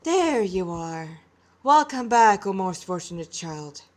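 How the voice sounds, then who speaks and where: A woman voicing a gentle, stately male sage in a storybook reading. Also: medium pitch, big pitch swings, slow. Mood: welcoming. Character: glowing male sage, kindly, serene, mirthful.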